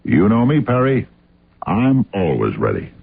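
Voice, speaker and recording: Husky, cocky TV detective star. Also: male voice, lowish voice, wildly swooping pitch, measured pace, heavily acted. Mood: casual, pleased. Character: cocky male TV detective star lost in his role. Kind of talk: radio drama acting. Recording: tinny old radio drama recording.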